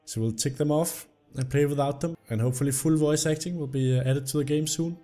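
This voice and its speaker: A young man, slightly hoarse from illness, commenting on a game and reading its story text aloud. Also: on the high side, a little fast, faint music behind. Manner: reads the story text aloud in an even narrating tone. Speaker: gameplay video host. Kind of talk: casual gameplay commentary.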